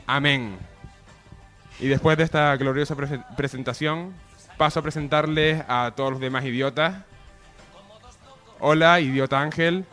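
High-voiced man hosting comedy radio. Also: fairly even intonation, measured pace, pauses often, a bit bright, faint music behind. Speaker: comedy radio show host. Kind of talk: mock-solemn presenting with banter.

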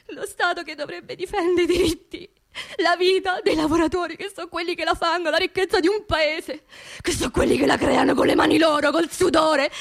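A woman's voice: very high voice, vocal fry. An actress playing an angry, grieving working-class Roman woman in a theatrical radio monologue, colloquial and bitter, demanding justice. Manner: impassioned, colloquial, bitter rhetorical questions.

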